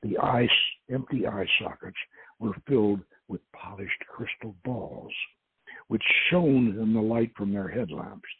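A man reading an adventure story aloud in a dramatic narrating style, with a husky, gravelly voice and a halting delivery. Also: lowish voice, animated, dry recording. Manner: halting, stumbles and corrects words. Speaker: host reading own novel aloud. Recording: tinny phone-line sound.

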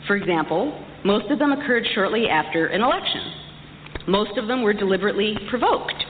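Female lecturer, articulate and wry, mid-pitched voice, muffled recording in a reverberant hall. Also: lively intonation, brisk. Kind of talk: prepared history lecture delivered to an audience. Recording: echoey hall, muffled, some background noise.

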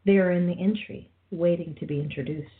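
A woman's voice: lowish voice, steady tone, brisk, phone-quality sound. A woman reading scripted scene narration as the narrator of a radio play.

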